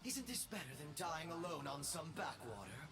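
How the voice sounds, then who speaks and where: A man playing an arrogant, power-mad young space emperor in a video game, pompous and gloating, swinging between shouts and whispers. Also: on the high side, big pitch swings, normal speed, vocal fry. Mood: mocking, smug. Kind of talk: theatrical video game voice acting. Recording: loud music behind the voice. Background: music.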